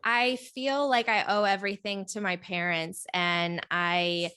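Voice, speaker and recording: Female interview guest, originally from Poland, bright tone, articulate and earnest. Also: medium pitch, fairly even intonation, measured pace, smooth. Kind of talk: interview answers.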